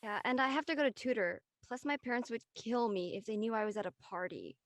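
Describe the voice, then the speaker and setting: A woman's voice: on the high side, animated, fast talker, no room sound, studio quality. A woman playing a stressed, studious, apologetic teenage schoolgirl in a drama read, speaking earnestly. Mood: resigned. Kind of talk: audio drama table read acting.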